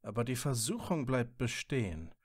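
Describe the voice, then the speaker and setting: A man's voice: medium pitch, sing-song, normal speed, husky, dry recording. A man acting a stern, guarded, tormented wizard in a fan audio drama, with a curt, sardonic manner. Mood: sober, matter-of-fact.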